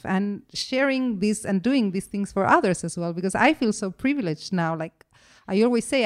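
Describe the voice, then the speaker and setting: A woman who is a podcast guest, speaking earnestly and often restarting, with the phrase "to me" coming back again and again. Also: medium pitch. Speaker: interview guest. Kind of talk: earnest conversational interview.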